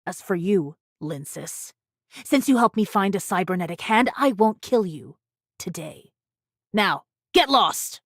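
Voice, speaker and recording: A woman's voice: mid-range voice, lively intonation, a little slow, dry recording, clean studio sound. A woman voicing a vengeful, taunting female pirate in an anime video game, with a menacing, theatrical way of talking. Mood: mocking, threatening. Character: vengeful, taunting female pirate first mate, one-eyed ex-convict.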